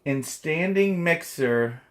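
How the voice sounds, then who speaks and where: A man's voice: a little high, animated, takes it slowly. A man hosting a casual cooking video in a home kitchen, joking and chatty. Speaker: cooking vlog host.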